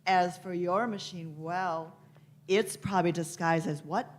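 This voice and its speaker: A woman playing a shrewd, teasing time-traveling doctor in a radio play, deliberate and knowing. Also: on the low side, steady tone, natural voice. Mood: wry, knowing. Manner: deliberate, knowing, punctuated with hmms. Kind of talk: live radio-play acting.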